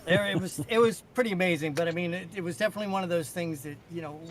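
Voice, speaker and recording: Higher-pitched middle-aged man, chatting casually. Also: rapid-fire, background noise. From United States. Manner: blunt, profane, reminiscing. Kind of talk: casual chat.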